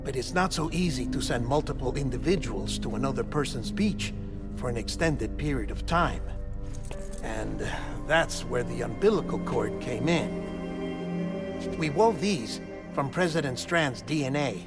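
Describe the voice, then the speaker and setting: An actor playing a wry, caring comrade in a video game cutscene, conversational and explanatory. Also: male voice, mid-range voice, animated, measured pace, loud music behind the voice. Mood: reassuring, explanatory.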